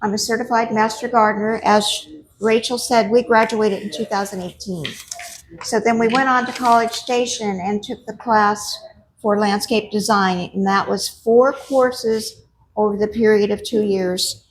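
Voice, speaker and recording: A woman with a low speaking voice, introducing herself quickly and checking in with the audience. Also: fairly even intonation, slight background noise, low-quality recording. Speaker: master gardener presenter.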